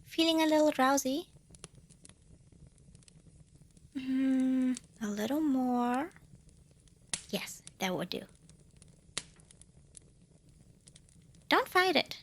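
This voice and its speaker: Female performer for tiny eager demoness, high and very animated, a cuddle-hungry saleswoman for an ASMR roleplay. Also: slow, a little hiss or hum, full character voice. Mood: soothing. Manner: playful wheedling salesmanship with flustered self-corrections. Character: cute little female demon, centuries old, eager, cuddle-craving. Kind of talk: scripted ASMR fantasy roleplay addressed to the listener.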